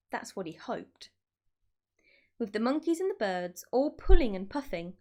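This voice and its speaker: A woman reading aloud to children as an expressive storyteller, speaking directly to her young listeners. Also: a little high. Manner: addresses child listeners with questions.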